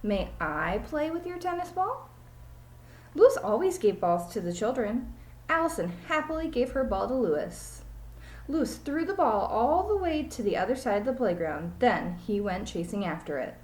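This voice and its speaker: A woman's voice: fairly high pitch, sing-song, normal speed, a little mellow. A woman reads a children's storybook aloud like a teacher. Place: small home room, indoors. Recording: echoey room, webcam mic.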